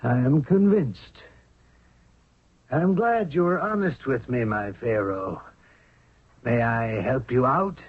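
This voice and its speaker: An actor playing a cold-blooded, scheming royal courtier in a 1970s radio drama, persuasive and deliberate. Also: male voice, mid-range voice, lively intonation, husky, dry recording. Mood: smooth goading. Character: scheming, cold-blooded male courtier plotting murder of the pharaoh. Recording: narrow, tinny 1970s radio recording.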